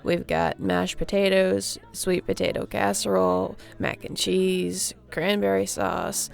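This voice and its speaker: A woman playing a friendly, easygoing young surfer girl in an audio roleplay, chatting casually and welcomingly with a creaky voice and laid-back slang. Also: medium pitch, level tone, measured pace, soft background music. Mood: cheerful, hospitable.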